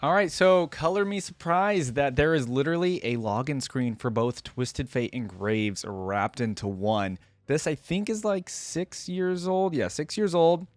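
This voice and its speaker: A man's voice: a little high, expressive, measured pace, few pauses, no echo. A man hosting a reaction video with casual, chatty commentary.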